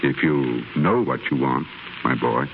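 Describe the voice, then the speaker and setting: A man playing an older male stonecutter who talks to a younger man in a gentle, fatherly, measured way. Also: rumbling low. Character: older male stonecutter, kindly earnest mentor. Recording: tinny noisy 1930s radio broadcast.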